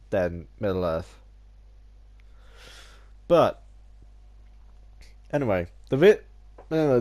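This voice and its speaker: Gaming YouTuber with a head cold, a little nasal, stumbling and restarting sentences, mid-pitched. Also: male voice, a little mellow. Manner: rambling, many restarts and stumbles; theatrical opening greeting. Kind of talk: casual video essay.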